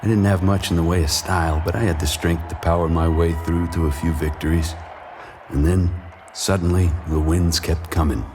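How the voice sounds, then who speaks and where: An actor playing a weary, washed-up boxer who narrates his haunted past in a measured noir style. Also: low-pitched, steady tone, background noise, close to an everyday voice. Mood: weary, reflective. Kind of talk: brooding first-person noir narration in audio drama. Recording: music bed under the voice.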